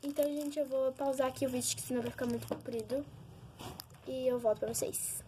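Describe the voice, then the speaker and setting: A young Brazilian girl with a high voice talks casually to her viewers. She is informal and chatty, like a hobby vlogger. The homemade recording is clearly noisy, with small clicks. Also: steady tone, fast, dark tone. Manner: informal, giggly, addresses viewers often. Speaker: vlogger showing slime. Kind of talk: casual chat to camera with hands-on demonstration.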